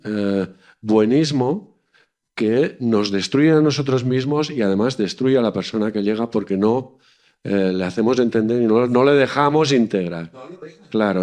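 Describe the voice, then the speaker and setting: A man from Catalonia speaking earnestly in a political discussion, often pausing to search for his words. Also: medium pitch, a little mellow. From Catalonia, Spain.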